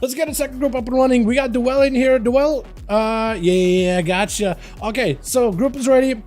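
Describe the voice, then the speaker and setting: Chatty gaming streamer with a high voice. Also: male voice, moderate pace, darkish tone, faint music behind. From Russia. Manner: loose and chatty, many fillers and restarts. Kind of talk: casual livestream chat. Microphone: headset.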